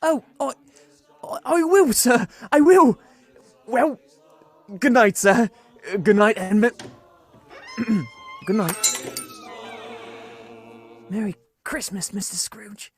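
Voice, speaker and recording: A man's voice: very high-pitched, huge pitch swings, slow, long pauses, exaggerated voice. A man playing a meek, kindly, nervous clerk in a radio play, timid and deferential toward his employer. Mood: nervous, cheerful. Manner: deferential, timid, eager to please. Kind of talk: theatrical radio play acting.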